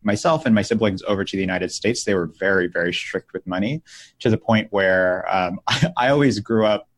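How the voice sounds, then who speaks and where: Young male guest, very low voice, reflective, halting delivery. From Philippines, raised in the US. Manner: thoughtful, with frequent restarts and "like" fillers. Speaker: podcast guest. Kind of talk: casual interview chat.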